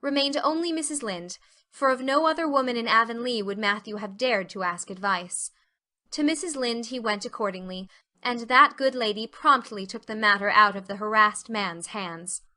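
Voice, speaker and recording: A woman's voice: on the high side, moderate pace, pushed and bright. A woman narrating an audiobook story as a scripted dramatic reading.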